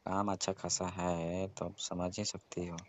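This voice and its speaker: Low, flat-voiced man, muffled phone call. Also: a little slow. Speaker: employer on a call with worker. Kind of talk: private phone conversation.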